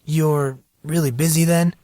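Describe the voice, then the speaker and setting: A man's voice: a little high, steady tone, a little slow, mellow, no echo, studio quality, voice pushed up, close to an everyday voice. A man voicing an awed, uneasy teenage boy with a hesitant, questioning manner in an audiobook. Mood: curious, stalling. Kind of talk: audiobook character dialogue.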